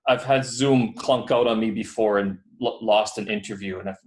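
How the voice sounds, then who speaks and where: A man's voice: lowish voice, steady tone, moderate pace. A man from Canada hosting a podcast interview over a video call, chatting casually and rambling with lots of fillers. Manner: rambling, filler-heavy, friendly. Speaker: podcast host. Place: building away from home. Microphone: headphones on a video call.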